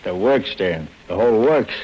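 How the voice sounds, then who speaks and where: An actor with an American accent playing a chummy, wisecracking newspaper archive clerk in an old-time radio drama. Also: male voice, on the low side, animated, moderate pace, close to an everyday voice. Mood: jovial, joking. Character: jokey, folksy male newspaper archive clerk. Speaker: supporting actor in a radio serial. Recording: muffled old radio broadcast.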